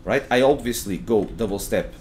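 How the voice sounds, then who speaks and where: A young man from Portugal with a Portuguese accent, giving a relaxed, halting game tutorial at home with lots of fillers and restarts. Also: on the low side, a little hiss or hum. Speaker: gaming tutorial creator. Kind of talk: casual game tutorial commentary. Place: home, at his computer.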